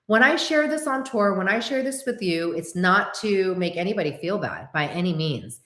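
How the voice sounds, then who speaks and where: Woman, forties, Korean first language, casual livestream host, animated, mid pitch, echoey room. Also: moderate pace. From United States. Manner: chatty, animated, answers viewers.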